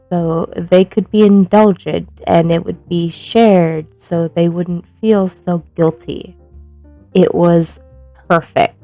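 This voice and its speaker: A woman's voice: on the low side, mellow, poor audio. A woman speaking informally and expressively as an amateur narrator of her own fan fiction. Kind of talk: informal intro and expressive storybook-style reading.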